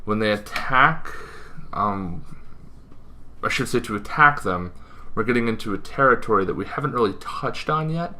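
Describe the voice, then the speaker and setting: A man's voice: medium pitch, lively intonation, normal speed, pushed and bright. A man hosting an informal explainer, talking in a rambling way with asides and self-corrections. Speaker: host of a tabletop gaming show.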